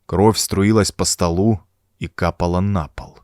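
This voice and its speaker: A man narrating a horror story as an audiobook, reading the scripted prose in a suspenseful, dramatic and expressive storytelling manner. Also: low-pitched, a little slow, dry recording, studio quality.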